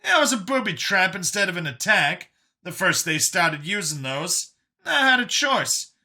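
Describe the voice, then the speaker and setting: A man voicing an earnest older male escaped captive in an audiobook, explaining past hardship in a halting, emphatic way. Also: sits high, very animated, bright, forceful tone, pitched above the performer's own voice, exaggerated voice. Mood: matter-of-fact. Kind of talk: audiobook character dialogue.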